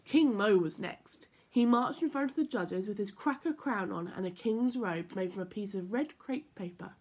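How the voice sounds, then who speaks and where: A woman reading a children's story aloud in an expressive, playful, emphatic way. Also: medium pitch, normal speed, husky. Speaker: story time reader for children.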